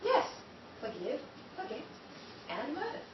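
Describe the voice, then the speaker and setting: A woman's voice: high-pitched, huge pitch swings, measured pace, exaggerated voice. A woman playing a haughty, conniving older conspirator with an arch, pompous, theatrical delivery in an audio drama. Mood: sly. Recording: muffled, noisy, echoey distant recording.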